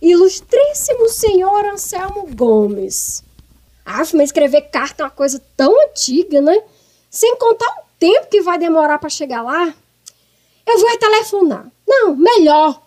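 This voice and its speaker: A woman's voice: very high-pitched, big pitch swings, moderate pace, a little mellow. A woman with a Northeastern Brazilian accent playing an irascible, domineering, scolding mother-in-law in a comic radio soap. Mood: indignant, exasperated. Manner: scolding, exclamatory. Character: irascible, domineering middle-aged mother-in-law, loud and theatrical.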